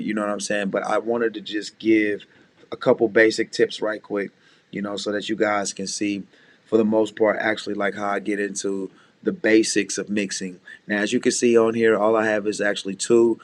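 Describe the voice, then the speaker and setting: A man with a deep voice, a music producer casually talking beginners through mixing, often saying "you know what I'm saying". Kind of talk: casual screen-share tutorial.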